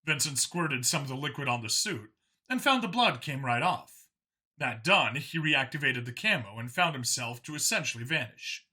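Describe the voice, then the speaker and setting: Bright, forceful male story narrator. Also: mid-range voice, lively intonation, moderate pace, husky. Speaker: audiobook narrator.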